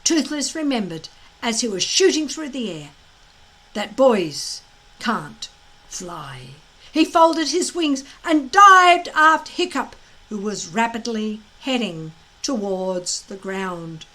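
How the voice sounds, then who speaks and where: A woman reading a children's story aloud as expressive narration, with dramatic emphasis and deliberate phrasing. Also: on the high side, rough recording.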